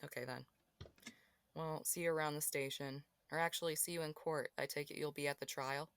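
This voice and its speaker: A woman playing a cool, sharp-eyed female police detective with a guarded, curt manner. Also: sits low, steady tone, a little fast, no echo. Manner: crisp, guarded and dismissive. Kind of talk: amateur audio drama acting.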